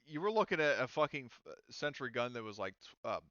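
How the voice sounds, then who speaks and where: A man's voice: mid-range voice, big pitch swings, fast talker, bright, forceful tone, clean tone, dry recording, muffled recording. A man talking casually as the game master of a tabletop role-playing game.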